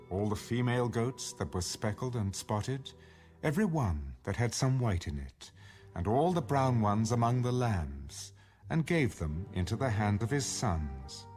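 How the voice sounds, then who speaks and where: A man narrating scripture for a dramatized audio Bible in a measured storytelling manner. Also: lowish voice, a little mellow. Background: background music.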